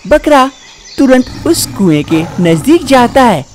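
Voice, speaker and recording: A woman's voice: high voice, sing-song, slow pace, a little hiss or hum. A woman narrating a scripted animal fable for children as a storyteller.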